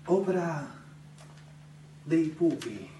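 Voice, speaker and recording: Earnest, high-voiced Sicilian storyteller. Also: male voice, expressive, dark tone, a little hiss or hum. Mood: earnest, enraptured. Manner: emphatic, drawn-out, enraptured storytelling. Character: earnest, sentimental devotee of Sicilian puppet theatre. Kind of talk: theatrical storytelling monologue on radio. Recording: roomy distant recording with music behind.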